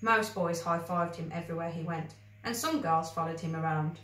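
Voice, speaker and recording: A woman reading a children's story aloud with expressive narration. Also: fairly low pitch, moderate pace. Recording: roomy, echoey, distant microphone.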